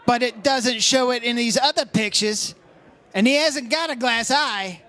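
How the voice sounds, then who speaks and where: A high-pitched male private investigator played by an actor in old-time radio style. He is brash and shrewd, talking in clipped slang like a man who has the case figured out. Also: measured pace, pushed and bright, heavily acted. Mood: probing, decisive.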